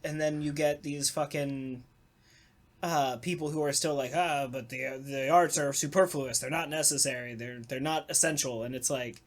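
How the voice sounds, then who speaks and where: A podcast host talking casually in an animated, sarcastic way, with some swearing. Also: mid-range voice, moderate pace. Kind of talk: casual talkback chat.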